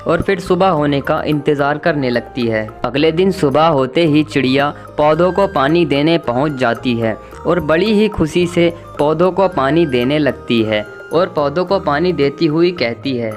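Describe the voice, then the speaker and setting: The man who tells the tale between characters' lines in an online kids' animated story, light music beneath. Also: fairly high pitch, unhurried, hardly any pauses. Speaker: narrator. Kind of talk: scripted children's story narration.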